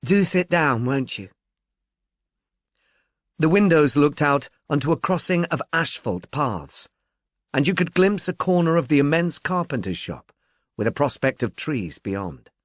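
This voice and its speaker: A man's voice: a little high, big pitch swings, moderate pace, no room sound, clean studio sound. A man reading literary fiction as a scripted audiobook narration.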